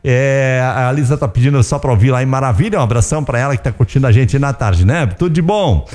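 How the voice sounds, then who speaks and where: A man's voice: medium pitch, fast, a little mellow. A middle-aged man, hosting a casual radio show with a slightly strained voice, always checking in with his listeners. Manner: chatty, keeps tagging questions to listeners. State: voice strained, close to giving out. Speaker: radio host. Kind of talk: casual radio chat with ads and song requests.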